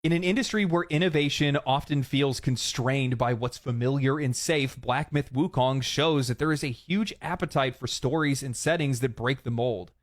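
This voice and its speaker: A man commenting on video games, opinionated and ranting, his voice hoarse and fading. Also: mid-range voice, moderate pace, few pauses, no room sound. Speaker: gaming commentator.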